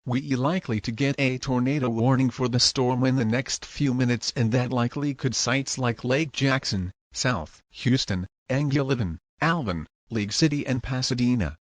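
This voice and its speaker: Man acting as local TV weatherman, serious warning commentary, average pitch, dry recording. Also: moderate pace, hardly any pauses, no room sound, close to an everyday voice. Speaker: TV weather presenter.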